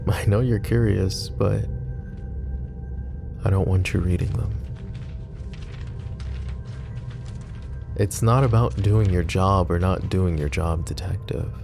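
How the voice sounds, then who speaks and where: A man playing a deep, slow-spoken mafia boss. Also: gravelly. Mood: brooding. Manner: calm, confiding, casually profane. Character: possessive, protective adult male mafia boss. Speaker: voice actor. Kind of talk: boyfriend ASMR roleplay.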